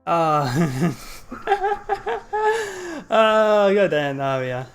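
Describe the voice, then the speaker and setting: Male streamer, unhurried casual play-along commentary, muttered reactions, faint game music behind. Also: on the high side, lively intonation. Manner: muttering asides, sing-song filler sounds.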